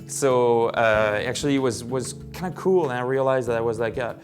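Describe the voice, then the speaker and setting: A man's voice: mid-range voice, a little fast, music in the background. A French-accented man in his forties, a film director telling his story at home in a casual, rambling way with many fillers and restarts. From France. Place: living room of his home.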